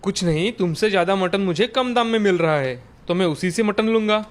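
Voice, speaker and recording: A man's voice: high-pitched, fairly quick, faint music behind, voice pushed up, close to an everyday voice. A man voicing a brusque, bargain-minded hotel owner in a story, curt and businesslike. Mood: dismissive.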